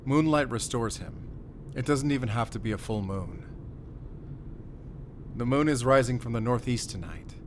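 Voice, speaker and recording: Man acting as calm battle-worn werewolf hunter, audio roleplay, deliberate pauses, quietly tender, mid-pitched, ambient noise behind. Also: close to an everyday voice. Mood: grave, resolute. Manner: measured, deliberate, pausing between short phrases.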